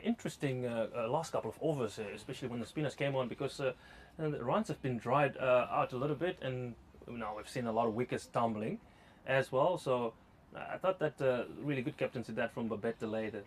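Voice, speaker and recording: A man from Zimbabwe with a Zimbabwean accent doing live cricket commentary, talking in a hesitant way with frequent pauses and fillers. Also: mid-range voice, slight background noise. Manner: hesitant, many uh fillers, laughs easily.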